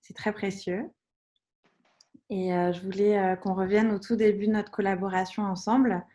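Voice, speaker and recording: Friendly woman interviewer, relaxed. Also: mid-range voice, normal speed. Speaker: interviewer, graphic designer. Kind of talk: casual interview.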